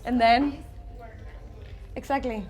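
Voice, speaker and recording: A woman's voice: sits high, fairly even intonation, slow pace, a little mellow. Woman presenting design basics to a crowd. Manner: casual, with frequent "like". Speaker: design team member presenting. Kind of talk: casual presentation.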